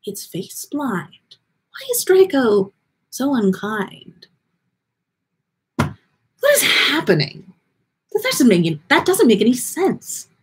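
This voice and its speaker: A woman voicing a dreamy, melodramatic female fortune-teller from a story, easily flustered, with halting exclamations and trailing pauses. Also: on the high side, big pitch swings, a little hiss or hum. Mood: despairing.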